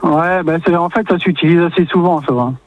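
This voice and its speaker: A man from France, a truck driver calling in, relaxed and a bit hesitant with short answers. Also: on the high side, fairly quick. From northern France. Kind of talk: phone-in chat. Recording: phone call over radio with music.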